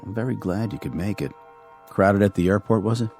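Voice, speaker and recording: An actor playing a courteous, dryly frank family doctor in a radio drama, speaking in a measured, polite way. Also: male voice, on the low side, a little mellow, lightly acted. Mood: politely somber. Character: courteous, dry, candid longtime male family doctor. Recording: radio drama with background music.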